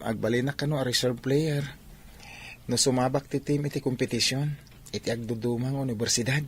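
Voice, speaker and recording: A man's voice: medium pitch, steady tone, fairly quick, natural voice. Earnest young village man over noisy ambience, acted. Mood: nervous. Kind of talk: Ilocano radio drama acting.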